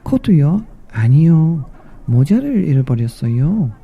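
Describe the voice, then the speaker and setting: A man voicing a little lost female mouse in a bedtime story, polite and anxious, speaking in quick, repeated short phrases. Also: a little high, sing-song, slightly rough, narrow, tinny audio, voice pushed up, exaggerated voice. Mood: confused.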